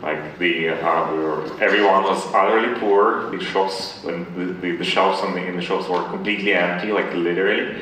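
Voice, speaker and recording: Echoey, low-voiced man speaking haltingly. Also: steady tone, fairly bright tone. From Ukraine. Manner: hesitant, halting, repeating words. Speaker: interview guest, tech founder. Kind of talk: conversational Q&A answers. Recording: very echoey room, distant mic, faint noise.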